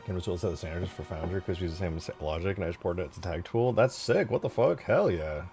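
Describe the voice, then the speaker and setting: A man chatting casually on a livestream as he shows game mod work, informal and slangy, easily excited. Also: low voice, quick pace, faint music behind. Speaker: live streamer and game modder.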